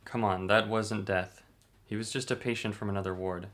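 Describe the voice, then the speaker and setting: A man playing a composed, sceptical hospital doctor in a horror manga dub, measured and clinical, with a gravelly voice. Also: fairly low pitch, steady tone, a bit bright, close to an everyday voice. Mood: reassuring.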